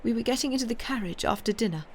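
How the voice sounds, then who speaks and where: Reader doing an old widowed marchioness: high, shaky, tearful, storybook period drama. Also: expressive, rapid-fire, slight background noise, close to an everyday voice. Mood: grief-stricken. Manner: high, trembling, tearful delivery. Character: grieving elderly noblewoman, widowed, dazed. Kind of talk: audiobook character voice.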